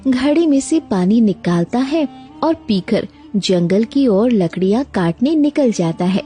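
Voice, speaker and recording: A woman's voice: fairly high pitch, lively intonation, unhurried, warm tone, soft background music. A woman narrating a scripted children's bedtime story.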